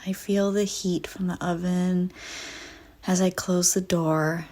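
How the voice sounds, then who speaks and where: A woman narrating a scripted guided visualization in a soft, soothing, deliberate way with frequent pauses. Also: fairly low pitch, steady tone.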